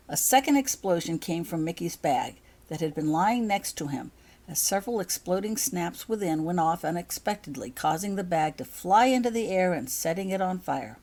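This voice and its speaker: A woman narrates a story in a somewhat low voice that moves widely up and down. She reads from a script like an audiobook, with expressive delivery. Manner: expressive, acts out dialogue. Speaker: author reading her own fan fiction.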